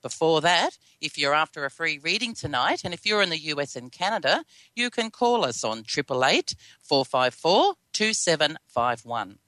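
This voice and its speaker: A woman's voice: sits low, big pitch swings, normal speed, a bit bright, dry recording. A woman with an Australian accent hosting a radio show, chatty and upbeat, with a slightly scratchy voice. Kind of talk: live radio hosting and interviewing.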